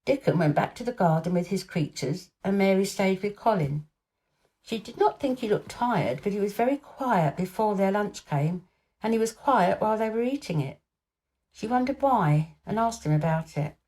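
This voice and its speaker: A woman's voice: mid-range voice, animated, normal speed, warm tone. A woman reading a children's storybook aloud as a scripted narration. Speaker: storybook reader.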